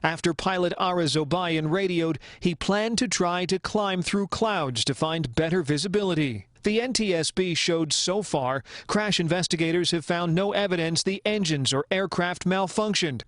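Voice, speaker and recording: A man's voice: high-pitched, normal speed, few pauses, fairly bright tone, no echo, studio quality. A man with an American accent narrating a scripted investigative television news report as the reporter.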